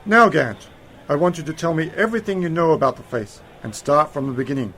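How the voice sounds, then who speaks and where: A man playing an eccentric, knowing uncle and adventurer in an amateur science-fiction radio play, brisk and dismissive. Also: on the high side, a bit bright. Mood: assured. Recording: faintly noisy home-made radio play recording.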